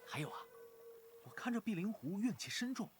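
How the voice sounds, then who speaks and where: An actor voicing a cheeky, clever young male cultivator in an animated fantasy drama, playful and teasing. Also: high voice, fairly quick, soft background music. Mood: confident.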